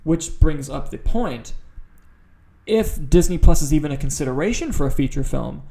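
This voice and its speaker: Casual man, mellow, slightly higher voice. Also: expressive, normal speed, vocal fry. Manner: profane, bluntly exasperated. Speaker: podcast co-host. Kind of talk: casual opinionated chat about film news.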